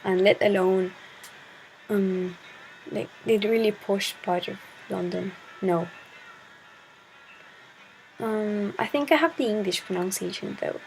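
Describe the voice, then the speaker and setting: A woman's voice: mid-range voice, slow, vocal fry, pauses often, darkish tone, slight background noise, poor audio. A shy teenage girl from Chile, a native Spanish speaker, talking to her camera in a hesitant way and searching for words. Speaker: vlogger. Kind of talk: casual talk to camera.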